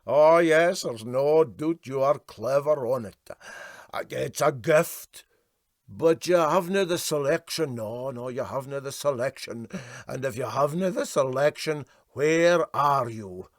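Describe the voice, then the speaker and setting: A man voicing a vain, sentimental and self-important steamboat skipper with a Scottish Highland accent, for a storybook. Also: unhurried, no room sound, clean studio sound, natural voice. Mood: condescending. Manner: ponderous, self-important, hesitant.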